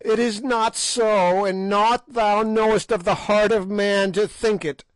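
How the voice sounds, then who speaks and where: Wicked ancient witch, voiced by a man: shrill, mocking, dry close sound. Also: steady tone, measured pace, no echo, poor audio. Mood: cackling scorn. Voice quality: shrill, cackling. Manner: screeching, mocking. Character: ancient shrieking female witch, wicked and gloating. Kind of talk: audiobook character voice.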